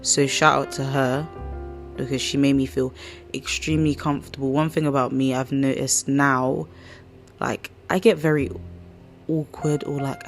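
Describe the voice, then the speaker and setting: A woman with a croaky, hoarse voice hosting a casual podcast, rambling and slangy as she chats. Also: extremely low pitch. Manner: chatty, slangy, rambling storyteller. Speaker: podcast host. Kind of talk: casual chat.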